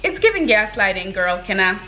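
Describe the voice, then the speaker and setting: A woman giving a casual book review in an expressive, slangy way, talking to viewers like girlfriends with emphatic check-ins. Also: medium pitch, normal speed, a little hiss or hum, poor audio.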